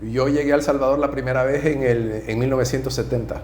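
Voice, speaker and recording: A man from Nicaragua, a Jesuit priest, answering interview questions in a soft-spoken, measured way. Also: mid-range voice, fairly even intonation, roomy sound, a little hiss or hum. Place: TV studio.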